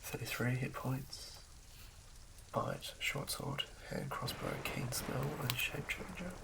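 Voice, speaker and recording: A man reading in a hushed, breathy ASMR whisper with a halting, measured delivery. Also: mid-range voice, huge pitch swings, background noise. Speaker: host of an ASMR channel. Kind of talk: ASMR reading of game rulebook.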